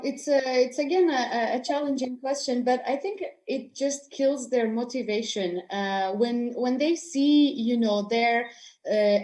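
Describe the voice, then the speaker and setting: Young woman from Afghanistan, panelist, hesitant, slightly high voice, webcam audio. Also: darkish tone. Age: young adult. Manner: hesitant, many fillers and restarts. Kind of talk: panel answer on a video call. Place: room with houseplants. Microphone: video call.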